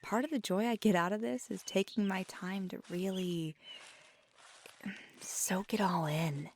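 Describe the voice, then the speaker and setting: A woman playing a feisty, affectionate magical girlfriend in an intimate ASMR roleplay, soft-spoken and halting, sometimes slipping into a whisper. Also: mid-range voice, fairly even intonation, a little hiss or hum, natural voice. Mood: gentle encouraging.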